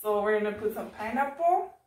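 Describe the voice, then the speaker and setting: A woman's voice: medium pitch, animated, brisk, darkish tone, far from the mic. Giggly Mexican American woman in echoey kitchen. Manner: giggly, chatty, switches into Spanish. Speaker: vlog host. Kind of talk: casual cooking chat. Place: home kitchen, indoors. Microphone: camera across the room.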